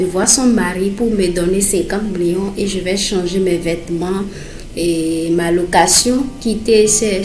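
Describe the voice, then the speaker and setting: A woman's voice: on the low side, darkish tone, close to an everyday voice, low-quality recording. A woman voicing a middle-aged, money-hungry, proud mother of a bride in a cartoon, exclaiming and self-important.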